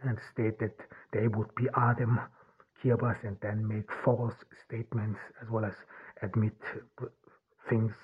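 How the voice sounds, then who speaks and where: A man whose first language is German, hosting a solo podcast and talking in a halting way, with many restarts and repeated words. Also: on the low side, flat delivery, breathy edge. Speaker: podcast host. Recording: tinny phone-like sound.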